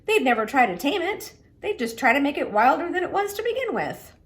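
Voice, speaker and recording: A woman's voice: high-pitched, sing-song, fairly quick, fairly bright tone, voice pushed up. A woman reading as an emphatic, wry mailman. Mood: emphatic agreement. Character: folksy adult male mailman, wryly opinionated.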